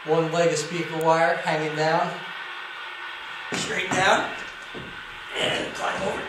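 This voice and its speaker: A man's voice: on the high side, flat delivery, slow pace. A man who is a CB radio hobbyist, chatting in radio slang and repeating his short phrases. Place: small bare wooden garage. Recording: noisy, echoey garage camera recording.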